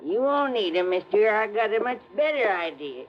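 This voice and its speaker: Actress as a nosy landlady, flustered, folksy, 1950s radio drama, loud hiss, muffled old sound. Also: mid-range voice, very animated, moderate pace, close to an everyday voice. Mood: bustling. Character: fussy nosy boarding-house landlady.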